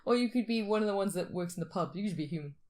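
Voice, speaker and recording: A woman's voice: medium pitch, expressive, racing, darkish tone. Woman, mid-range voice, very varied intonation, casual chat, teasing podcast host. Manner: playful, teasing.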